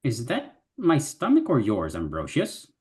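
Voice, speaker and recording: A man's voice: wildly swooping pitch, unhurried, darkish tone. A man voicing a chivalrous little fox knight in a live reading, using old-fashioned speech. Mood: brisk. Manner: archaic, chivalrous speech with big pitch leaps.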